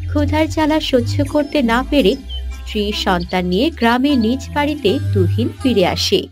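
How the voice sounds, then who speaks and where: A woman's voice: sits high, animated, moderate pace, soft background music. A woman giving scripted narration for a cartoon moral story.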